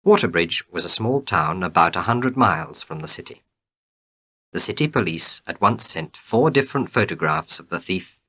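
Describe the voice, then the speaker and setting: A man narrates a short tale from a script in an orderly way. His voice is fairly low in pitch, with a bright, forward tone. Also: slightly rough. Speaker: storybook reader.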